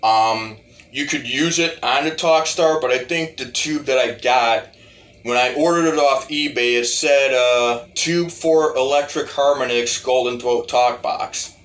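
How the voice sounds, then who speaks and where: A man giving a casual, rambling demo of music gear, halting and repeating his phrases as he goes. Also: medium pitch, a bit bright. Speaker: hobbyist musician reviewing talk box gear.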